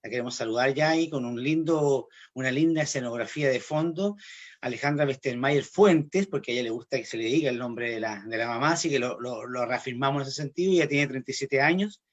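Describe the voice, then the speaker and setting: A man's voice: mid-range voice, a little fast, highs cut off. A man interviewing a guest, asking long, wordy questions and often restarting his sentences.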